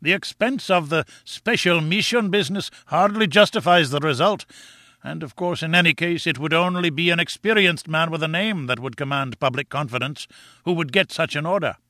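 Elderly Scottish newspaper editor, played by a male reader, avuncular and exclamatory, raised pitch, storybook dialogue. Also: moderate pace, sharp tone, no room sound, studio quality. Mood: alarmed, discouraging. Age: older. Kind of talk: audiobook character dialogue.

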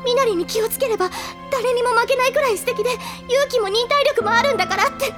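A woman voicing an anguished, bitter teenage girl in an audio drama, speaking in a wounded, halting and intense way. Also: extremely high pitch. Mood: aching. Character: anguished teenage girl, bitter over her mother's betrayal. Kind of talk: audio drama acting, emotional monologue. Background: music.

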